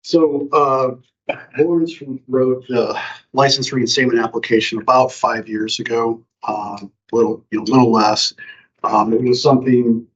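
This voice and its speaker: A man from county staff presents at an informal board meeting, stopping and restarting his sentences and leaning on fillers. His voice sits at a middle pitch. The recording of the meeting room sounds dull and lo-fi. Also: steady tone. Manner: halting, many fillers and restarts.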